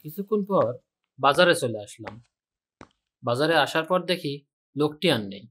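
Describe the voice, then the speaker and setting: A young man narrating a spooky story in the first person, calm and steady. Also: on the high side, moderate pace, breathy edge.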